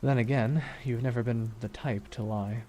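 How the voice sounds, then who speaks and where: A man playing a brooding, wistful villain in an intimate ASMR roleplay, speaking slowly and deliberately with long pauses and quiet menace. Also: medium pitch, steady tone, close to an everyday voice. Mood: reflective, somber. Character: villain reflecting beside his dying superhero nemesis, wistful, menacing. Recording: noticeable rain noise throughout. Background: rain falling on a surface.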